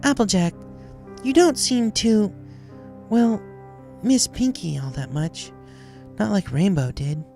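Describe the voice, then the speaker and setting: A woman voicing a dignified, gentle female alicorn princess with a formal, measured manner in a story reading. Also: mid-range voice, animated, vocal fry, soft background music, voice pushed up. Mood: firm, hesitant. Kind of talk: dramatized fan fiction reading.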